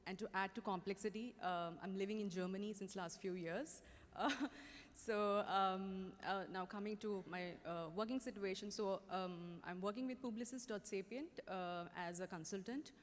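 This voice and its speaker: A woman with an Indian accent introducing herself on a panel, haltingly, with many hesitations. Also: mid-range voice. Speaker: consultant on a panel. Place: conference stage in a large hall. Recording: echoey conference hall PA.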